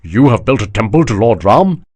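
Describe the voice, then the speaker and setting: Stern proud general, husky and unbending, lively rise and fall, read by a male audiobook narrator. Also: lowish voice, normal speed. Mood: scornful. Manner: scornful, unbending. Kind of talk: audiobook character voice.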